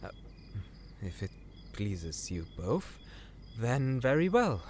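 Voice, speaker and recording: A man's voice: medium pitch, big pitch swings, slow, darkish tone, slight background noise. A man playing an earnest, deferential scholar serving royalty in a fantasy audio drama, formal and slightly hesitant. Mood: humble, formal.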